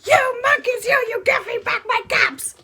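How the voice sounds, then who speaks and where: A woman voicing an exasperated male cap peddler who shouts at monkeys in a storybook. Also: extremely high pitch, lively intonation, a little slow, voice pushed up, heavily acted. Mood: angry.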